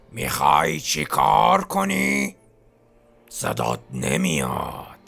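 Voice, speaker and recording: A man voicing a cruel, mocking male voice inside a detective's head in a fan game dub, with a gravelly, breathy whisper and a scornful, needling delivery. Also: sits low, sharp tone, heavily acted. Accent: Persian.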